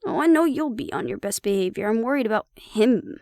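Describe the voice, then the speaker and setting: A woman's voice: fairly high pitch, lively intonation, a little fast, a little mellow, no room sound. A woman acting in an audio roleplay as a sardonic, nervous young woman who mutters dry asides to her mate. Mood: nervous, wry.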